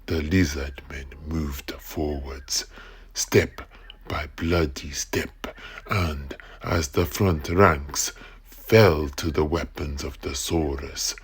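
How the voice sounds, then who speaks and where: A man playing an explorer in an audio drama, grimly recounting a battle in a husky, gravelly voice with deliberate, drawn-out phrasing. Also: extremely low pitch, steady tone, full character voice. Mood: grave, dramatic. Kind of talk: dramatic audio play narration.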